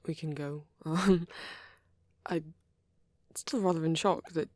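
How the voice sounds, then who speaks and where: A woman voicing a shy, awkward young vampire boyfriend in an ASMR roleplay, hesitant and rambling, with a soft, breathy delivery. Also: deep voice, steady tone, dry recording. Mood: shyly pleased. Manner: hesitant, halting, rambling, nervous.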